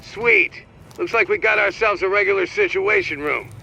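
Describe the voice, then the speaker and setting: An actor playing a middle-aged New police captain in a video game, blunt, streetwise and skeptical. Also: male voice, high-pitched, moderate pace, vocal fry, pushed and bright, muffled recording, soft background music, full character voice. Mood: pleased, energized. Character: gruff, skeptical New York police captain, grudgingly warm. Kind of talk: video game cutscene acting.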